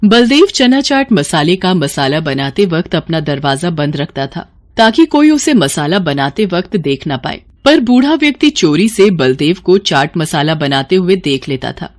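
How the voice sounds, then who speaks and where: A woman's voice: fairly low pitch, sing-song, moderate pace. A woman narrating a scripted moral tale for children in a storytelling style.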